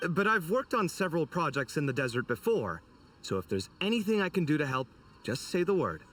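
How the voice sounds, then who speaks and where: A man voicing an expressive, exasperated but good-hearted scholar in a video game, with a theatrical, sarcastic, emphatic delivery. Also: on the high side, fairly quick, distant mic, slight background noise. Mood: friendly, eager. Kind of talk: scripted video game voice acting.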